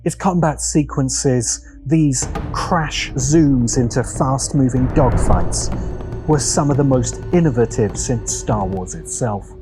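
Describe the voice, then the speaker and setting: A man narrating a scripted video essay with deliberate, dramatic phrasing. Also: medium pitch, music in the background.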